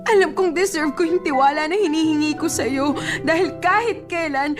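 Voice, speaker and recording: Actress as very high-pitched, emotional girlfriend, weary and pleading, radio drama, music underneath. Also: moderate pace, close to an everyday voice. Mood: hurt, fed up. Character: weary young girlfriend defending herself from a jealous boyfriend. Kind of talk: Filipino radio drama acting.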